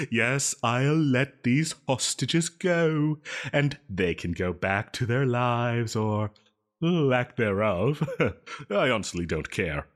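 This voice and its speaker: A theatrical, lovestruck male supervillain, in an audio drama. He is needy, possessive and self-pitying, drawing words out slowly while his pitch swoops dramatically. Giggles and stammers break up his melodrama. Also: expressive. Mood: gloating. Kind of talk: scripted roleplay audio drama.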